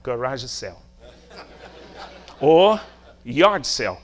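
A man's voice: medium pitch, sing-song, takes it slowly. A middle-aged man from Brazil, a native Portuguese speaker with a Brazilian accent, preaching emphatically in short phrases and rhetorical questions. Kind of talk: sermon.

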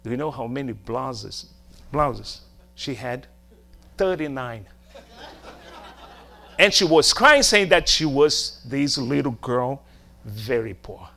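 A man's voice: mid-range voice, expressive, takes it slowly, vocal fry, pauses often, bright, forceful tone. A middle-aged man from Brazil, a native Portuguese speaker with a Brazilian accent, preaching emphatically in short phrases and rhetorical questions. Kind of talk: sermon.

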